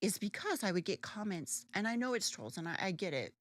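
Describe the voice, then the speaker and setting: A woman with a deeper alto voice co-hosting a casual podcast, brash and joking, swearing freely. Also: brisk, dry recording. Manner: animated, lively pitch. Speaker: podcast co-host. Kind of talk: casual chat.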